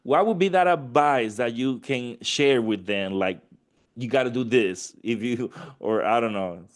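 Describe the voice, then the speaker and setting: A man hosts an interview at an ordinary pitch. He asks long, rambling questions, stopping and restarting as he searches for words. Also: a little slow.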